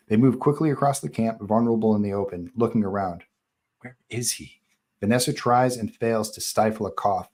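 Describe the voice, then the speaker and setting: A man's voice: on the low side, a little mellow. A man narrating stage directions at a live table read of a horror screenplay, in scripted narration style.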